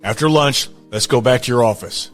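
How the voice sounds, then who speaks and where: A man's voice: medium pitch, moderate pace, fairly bright tone, faint music behind, natural voice. An actor playing a curt, commanding man who demands loyalty, blunt and authoritative, in an audio drama. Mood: cold, controlling.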